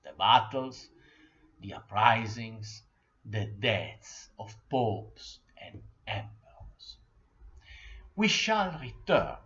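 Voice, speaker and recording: A man with an Italian accent delivers a history lecture at an unhurried, deliberate pace, his pitch rising and falling widely for emphasis. He clears his throat now and then, and the recording sounds somewhat dull and lo-fi. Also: a bit bright. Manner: slow, measured, clears throat often.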